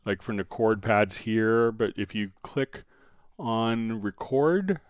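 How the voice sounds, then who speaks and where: Low, clear-voiced man giving a software tutorial. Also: animated, takes it slowly, no room sound, studio quality. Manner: tentative, thinking aloud while demonstrating. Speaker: livestream host and software instructor. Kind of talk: casual live software tutorial, answering viewer questions.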